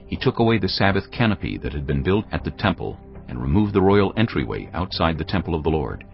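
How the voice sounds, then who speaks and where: A male narrator reading scripture in a measured, grave storytelling manner. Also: extremely low pitch, vocal fry. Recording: dull lo-fi sound over cinematic music. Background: cinematic music.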